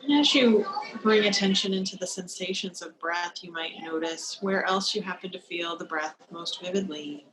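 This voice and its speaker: A woman leading a guided meditation as a teacher, speaking in a calm, gentle, unhurried manner with long pauses, over a video call. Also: fairly low pitch. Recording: noisy live video-call recording.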